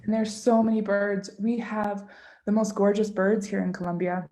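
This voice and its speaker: A woman children's book author talking casually and interactively to children on a video call, expressive and encouraging, often asking questions. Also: medium pitch, normal speed. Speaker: children's book author giving a read-aloud.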